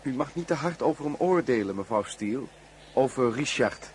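A man's voice: mid-range voice, expressive, moderate pace, a little hiss or hum, lightly acted. An actor playing a polite, hesitant young house guest in a radio play, often repeating himself as he searches for words. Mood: hesitant, reluctant. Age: young adult.

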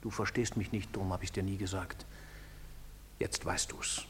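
A man's voice: fairly high pitch, normal speed, lightly acted. An actor playing a guilt-ridden husband and father, curt, agitated and brooding, in theatrical stage style. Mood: gruff, heavy. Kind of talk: theatrical stage-play acting. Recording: reverberant hall, faint noise.

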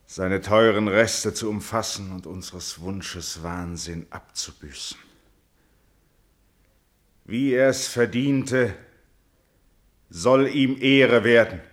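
An actor playing a remorseful, grief-stricken king and father in a classical German radio tragedy, declaiming solemn verse. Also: fairly low pitch. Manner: solemn, declamatory, swelling from hushed to loud.

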